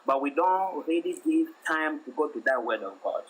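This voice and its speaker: A man with a French-speaking African accent calls into a live debate stream, speaking earnestly and haltingly as he argues for belief in God. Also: on the high side, expressive, slightly rough, poor audio. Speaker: caller.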